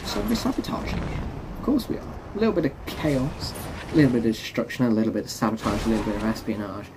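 A man's voice: medium pitch, quick pace. A young man giving casual commentary over a game, chatting to viewers. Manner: chatty, addresses viewers as folks. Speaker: gaming YouTuber. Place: home room, facecam. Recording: background noise.

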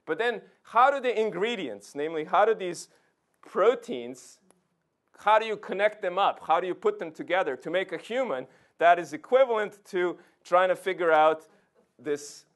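A man's voice: high voice, expressive, normal speed, fairly bright tone. A middle-aged man from Serbia gives an informal science lecture, conversationally checking in with his listeners as he explains. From Serbia, former Yugoslavia. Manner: conversational, checks in with "okay" and "right". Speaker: physics professor giving a public lecture. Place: indoor lecture room, talking beside a projection screen.

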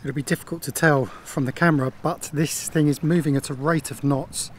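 A man's voice: on the high side, fairly quick, a little hiss or hum. A man in his fifties chatting casually to camera outdoors on a sailboat deck, wearing a clip-on mic. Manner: relaxed, chatty commentary. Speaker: sailing vlogger. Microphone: small clip-on mic.

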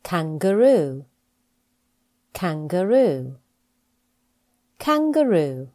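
A woman English teacher reading scripted pronunciation practice with deliberate, careful enunciation. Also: fairly low pitch, sing-song, warm tone. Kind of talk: scripted lesson narration, reading words for practice.

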